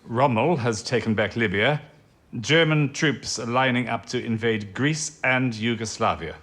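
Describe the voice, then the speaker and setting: An actor with a British accent playing a courteous, humane wartime intelligence official in a radio drama, speaking with hesitant politeness. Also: male voice, mid-range voice, measured pace, a bit bright. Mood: grave, measured.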